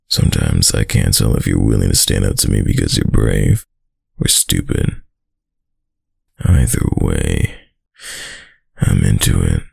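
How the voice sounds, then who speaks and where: A man playing a dominant, doting yet menacing mafia heir boyfriend in a roleplay ASMR audio drama, with a deep, husky, gravelly voice and a smug tough-guy drawl. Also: a little mellow, dry recording, clean studio sound, heavily acted. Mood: amused, doting.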